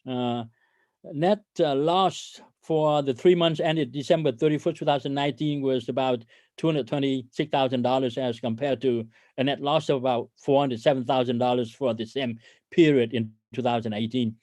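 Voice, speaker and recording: Halting male company executive, dry close sound. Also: mid-range voice, fairly even intonation, darkish tone, dry recording. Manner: halting, hesitant delivery with frequent stammered hesitations. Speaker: company CEO hosting an investor conference call. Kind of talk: corporate earnings call presentation.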